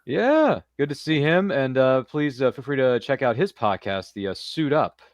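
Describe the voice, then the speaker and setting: A man's voice: mid-range voice, lively intonation, normal speed, dry recording. A man hosting a casual interview show, chatty and informal, often speaking directly to his listeners. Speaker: podcast host.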